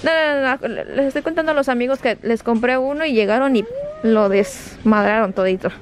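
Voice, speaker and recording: A woman's voice: on the high side, brisk. Chatty woman vlogging in a noisy store. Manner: chatty, talks to viewers and her kids. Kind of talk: casual vlog chat while shopping. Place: large retail store, indoors. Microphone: handheld camera.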